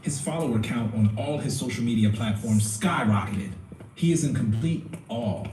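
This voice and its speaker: A man's voice: mid-range voice, normal speed, darkish tone, a little hiss or hum, natural voice. A man giving scripted narration for an audio drama. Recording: roomy, distant playback with music behind.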